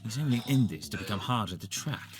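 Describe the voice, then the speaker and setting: A man's voice: lowish voice, lively intonation, quick pace, close to an everyday voice. Man playing a scheming, swooping villain doctor. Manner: precise, superior, sly. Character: scheming, vain villain doctor, calculating and fussy. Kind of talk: theatrical comic dub acting. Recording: prominent background music behind voice.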